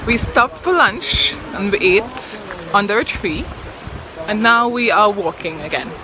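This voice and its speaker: A young woman vlogging casually while hiking, talking excitedly into a phone held at arm's length outdoors. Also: mid-range voice. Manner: chatty, excitable, often laughing. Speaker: travel vlogger. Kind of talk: casual vlog narration. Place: outdoors on a mountain hiking trail by a stream. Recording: phone audio with rushing stream noise.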